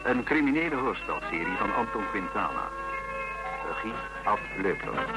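A man's voice: lowish voice, very animated, normal speed, loud music behind the voice, rough recording. A man reading a scripted radio drama title announcement. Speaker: series announcer.

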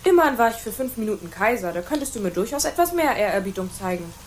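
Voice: medium pitch, big pitch swings, fast talker, lightly acted. An actor playing a cheeky young boy who puts on pompous, imperious airs in a radio play. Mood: playfully pompous. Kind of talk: German radio play acting.

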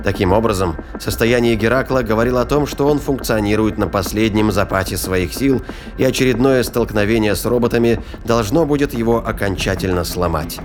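A man's voice: lowish voice, a little fast, over music. A man narrating a scripted science-fiction audio drama.

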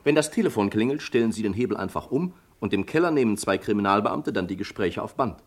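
An actor playing a practical police detective's assistant in a 1950s German radio crime play, polite and businesslike. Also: male voice, on the low side, animated, quick pace. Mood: brisk, instructive.

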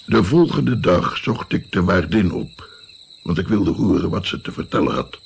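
A man's voice: low-pitched, expressive, normal speed, gravelly, slight background noise. An actor playing an earnest, brooding man who recounts his tale in literary language in a Dutch radio drama. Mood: reflective.

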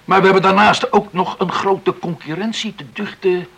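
High-voiced, gravelly committee official. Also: male voice, steady tone, moderate pace, a bit bright, heavily acted. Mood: persuasive. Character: earnest, formal rescue committee official. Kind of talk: radio drama acting.

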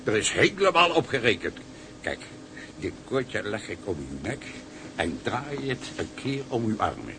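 An actor playing a cheery, coaxing ship's cook and fixer in a radio drama, talking colloquially. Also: male voice, lowish voice, big pitch swings, measured pace, noisy background. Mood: coaxing, fussing.

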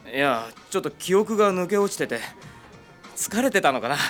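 A gentle young suitor in a radio drama, casual and flattering, his mid-pitched voice moving lively. Music plays behind him. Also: moderate pace. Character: friendly young man courting a woman.

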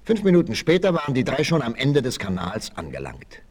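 A man's voice: mid-range voice, wildly swooping pitch, fairly quick, a little mellow. A man narrating a 1960s adventure audio play in a dramatic, suspenseful, emphatic scripted style. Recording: noisy 1968 vinyl transfer.

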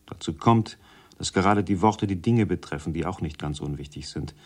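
A man reading absurdist literary prose in a measured, deadpan manner. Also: deep voice. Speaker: literary reader.

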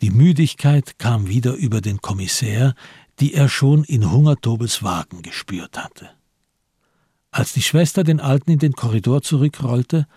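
A man narrating a literary crime story for a radio play in a husky voice, measured and grave. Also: medium pitch, dark tone, no echo, studio quality. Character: sober male storyteller of a crime tale.

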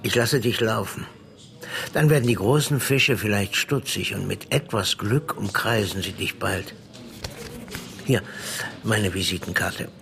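An actor in a German radio play playing a shrewd, threatening police inspector who interrogates bluntly in slang. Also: male voice, lowish voice, measured pace, creaky, pauses often, fairly bright tone, slight background noise. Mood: calculating.

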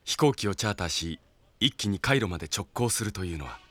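A courteous, level-headed adventurer in an audio drama. His voice is low and husky with a bright, crisp edge, recorded dry. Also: lively intonation, fast, no room sound. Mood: composed. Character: polite, level-headed male travelling companion. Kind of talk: Japanese audio drama acting.

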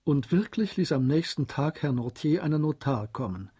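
An actor as the composed storyteller of a German radio drama, narrating a classic novel in a husky voice. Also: male voice, fairly high pitch, animated, moderate pace, mellow.

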